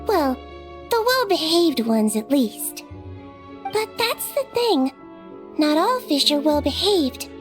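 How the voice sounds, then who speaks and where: A woman voicing a cute, polite little head nurse with a measured, professional manner in a video game. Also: very high voice. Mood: courteous. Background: music.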